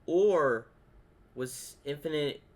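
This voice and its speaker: A man's voice: a little high, slow. A man giving casual reaction commentary as a video host, thinking aloud as he speculates.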